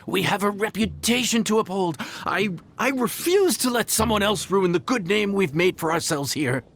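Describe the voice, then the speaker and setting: An actor playing a proud, stubborn inn chef in a video game, flustered and defensive, with hesitant stammers. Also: male voice, high-pitched, lively intonation, normal speed, a bit bright, slight background noise. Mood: stubborn, worried. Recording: music playing behind the voice.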